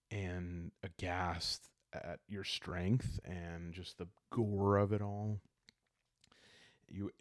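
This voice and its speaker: Low, creaky-voiced man narrating slowly and calmly. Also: no room sound, studio quality. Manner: soft-spoken, calm, halting. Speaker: solo roleplay game player and narrator. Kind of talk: calm improvised solo roleplay narration, sleep-aid ASMR.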